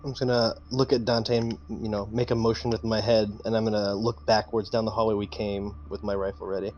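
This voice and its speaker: A man talking casually as a tabletop roleplaying player, haltingly thinking aloud about his choices. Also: lowish voice, flat delivery, darkish tone, a little hiss or hum. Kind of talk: casual in-game discussion.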